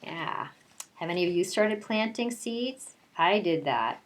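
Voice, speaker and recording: Low-voiced woman teacher at story time. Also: lively intonation, unhurried. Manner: conversational, addresses children directly with questions. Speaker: kindergarten teacher reading a picture book aloud. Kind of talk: story time for young children, read-aloud mixed with casual chat.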